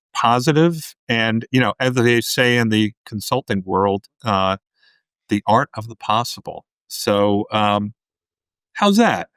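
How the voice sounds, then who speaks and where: A man's voice: mid-range voice, no echo. A middle-aged man hosting a podcast interview, chatting casually with plenty of fillers and restarts. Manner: relaxed, rambling, many fillers. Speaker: podcast host.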